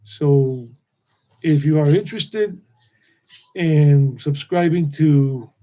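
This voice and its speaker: Casual live-stream host, man, middle-aged, mellow tone, wanders off topic and repeats details. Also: a little high, slow pace, rough recording. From United States. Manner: meandering, repeats himself. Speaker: tour guide and channel host. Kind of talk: rambling live stream chat.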